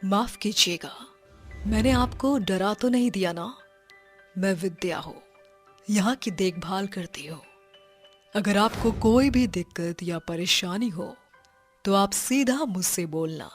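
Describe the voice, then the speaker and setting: A woman voicing a soft-spoken, eerily polite woman who keeps a lonely hotel, her lines low and hushed. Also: unhurried, pauses often, faint music behind, natural voice. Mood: eerie. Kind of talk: animated storybook dubbing.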